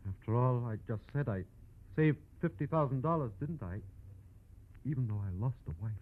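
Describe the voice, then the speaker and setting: A man playing a subdued, rueful wealthy client in a halting, emotional 1940s radio drama style. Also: mid-range voice, animated, lightly acted. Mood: rueful, shaken. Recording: muffled, tinny vintage radio recording.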